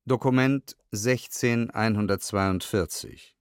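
A man reading historical documents aloud in a scripted, measured and precisely articulated documentary style. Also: lowish voice, clear, resonant tone, dry recording, studio quality.

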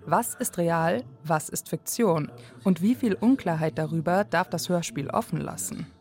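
A woman's voice: on the low side, moderate pace, a little mellow, faint music behind. A woman reporter reading scripted narration for a radio feature.

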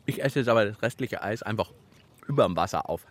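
Animated man hosting a children's show. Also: fairly low pitch, fairly quick. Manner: playful, animated. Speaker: children's radio show host. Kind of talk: lively children's show chat.

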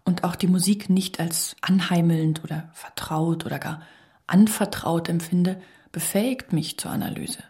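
A woman with a fairly low voice delivers scripted literary narration. Her reading is formal and measured, each statement set out deliberately. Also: fairly even intonation, warm tone. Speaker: reader of a literary radio text.

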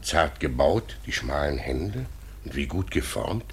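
An actor playing a self-assured high judge in a radio drama, measured, observant and quietly commanding. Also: male voice, very animated, slight background noise, heavily acted. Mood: observant, composed. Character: self-assured, authoritative male high court president, observant. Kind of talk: German radio drama acting.

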